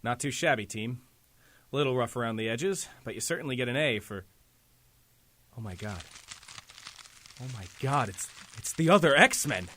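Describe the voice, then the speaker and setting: A bright, forceful voice from a man acting plays a brisk superhero team leader. The delivery is crisp, wry and commanding over faint noise, like a fan audio drama. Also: mid-range voice, lively intonation. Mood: shocked.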